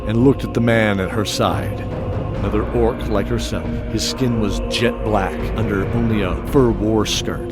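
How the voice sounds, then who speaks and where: Male narrator for fantasy audio drama: low pitch, dramatic descriptive read, music bed. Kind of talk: scripted fantasy story narration.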